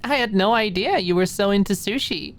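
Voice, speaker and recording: Female narrator as friendly, giggly young woman for an audiobook; raised pitch, chatty and teasing delivery. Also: brisk, slight background noise, close to an everyday voice. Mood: playful, warm. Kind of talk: audiobook character dialogue.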